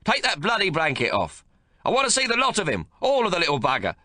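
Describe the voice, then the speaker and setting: A man's voice: high voice, wildly swooping pitch, quick pace, sharp tone, no echo, voice pushed up, full character voice. A man voicing a domineering, crude old grandfather who bellows and bullies. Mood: bullying. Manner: coarse, bellowing, bullying.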